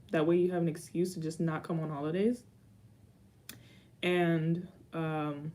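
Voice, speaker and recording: A woman's voice: lowish voice, warm tone. A young woman in her twenties answering viewer questions in a rambling, casual vlog chat with dry asides. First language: Haitian Creole. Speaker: YouTuber.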